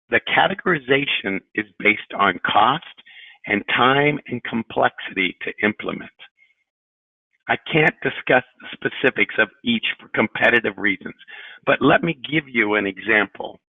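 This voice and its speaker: Creaky-voiced executive man on a phone line. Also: mid-range voice, no room sound. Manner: formal, measured, deliberate phrasing. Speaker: company president and CEO. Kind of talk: prepared corporate earnings presentation. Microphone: telephone on a conference call. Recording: narrowband tinny phone line.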